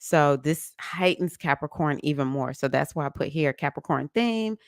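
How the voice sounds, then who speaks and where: A woman, hosting a casual livestream about astrology, chatty and animated, laughing often and greeting viewers by name. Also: low-pitched, moderate pace, no echo, studio quality. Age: 50s. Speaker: livestream host.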